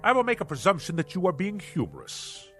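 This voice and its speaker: Pompous cranky boss who talks like a sea captain, radio drama, slow n swooping, music under it. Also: male voice, high voice, wildly swooping pitch, fairly bright tone, full character voice. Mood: indignant, pompous. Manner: pompous, blustering, nautical turns of phrase. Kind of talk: theatrical radio drama acting.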